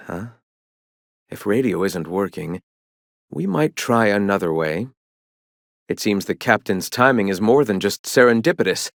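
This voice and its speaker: An actor playing a zealous, scholarly leader of a philosophical rebel movement in a video game, speaking in a lofty, formal, preachy way. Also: male voice, on the low side, no room sound, clean studio sound. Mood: fervent, scheming. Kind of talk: video game character dialogue.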